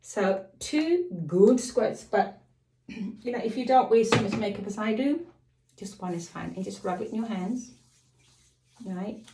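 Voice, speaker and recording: Slightly croaky woman chatting, echoey room. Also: mid-range voice, measured pace, pauses often, mellow, a little hiss or hum. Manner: rambling, digresses and apologizes. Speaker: lifestyle vlogger. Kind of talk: casual chat to camera. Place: home.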